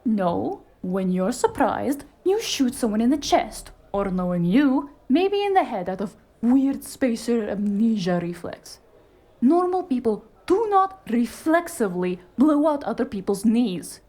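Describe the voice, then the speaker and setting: Actress for cyberpunk noir audio drama fixer: sharp woman, higher register, scolding, emphatic, streetwise. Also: sing-song, a little slow. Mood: incredulous, exasperated.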